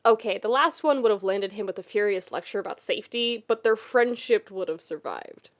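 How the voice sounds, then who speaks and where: A woman reading fiction as a dramatic, emotive narrator, measured and with deliberate pauses. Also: mid-range voice. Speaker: podfic narrator.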